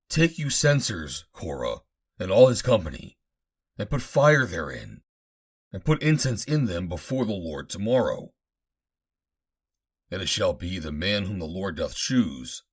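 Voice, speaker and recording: Man playing a deep, stern, commanding prophet. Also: slightly rough, full character voice. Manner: declamatory, emphatic. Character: stern male Hebrew prophet, indignant and commanding.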